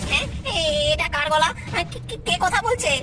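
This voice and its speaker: A comic, excitable cowherd, voiced by a woman, high in pitch and blustering. The cocky, exclamatory voice sits back from the mic under loud music. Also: moderate pace, recorded from a distance. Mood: alarmed, blustering. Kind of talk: cartoon comedy voice acting.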